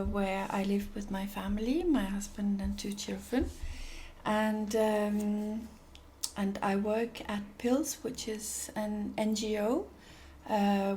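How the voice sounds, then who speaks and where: A woman's voice: medium pitch, mellow, slight background noise. A woman from Denmark, an NGO executive director, answering interview questions thoughtfully with many fillers and restarts.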